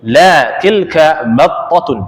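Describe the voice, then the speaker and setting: Young male language teacher, slow-paced and fairly high-voiced, easygoing and chatty, lots of reverb on the voice. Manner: relaxed and chatty, laughs often, reads Arabic lines slowly and clearly. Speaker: Arabic teacher. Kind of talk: casual online Arabic lesson, reading aloud for repetition. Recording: long reverberant echo.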